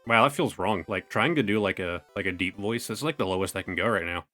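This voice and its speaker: A man's voice: lowish voice, fairly quick, sharp tone, faint music behind. A young man with a worn, washed-out voice, chatting casually as a host while opening trading cards, rambling and filling his talk with "like" and "you know.". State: voice going out, thin and washed out. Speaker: card-opening YouTuber.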